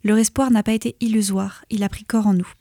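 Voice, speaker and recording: Mellow woman reading lyrical prose. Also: mid-range voice, flat delivery, dry recording, clean studio sound. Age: 30s. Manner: measured, lyrical cadence with soft, hushed drops at phrase ends. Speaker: essay reader. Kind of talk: scripted poetic narration.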